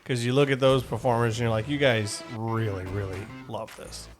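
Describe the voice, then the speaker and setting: An American man with an average-pitched voice hosts a relaxed, conversational podcast. He is enthusiastic and informal, rambling from one thought into the next. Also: normal speed, over music. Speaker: podcast host. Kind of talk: casual chat.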